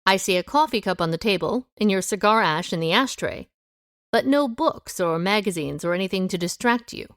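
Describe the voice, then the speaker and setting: An actress playing a confident, wry amateur sleuth who questions suspects briskly and methodically in an audio drama. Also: female voice, medium pitch, animated, no echo, clean studio sound, close to an everyday voice. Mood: brisk, probing.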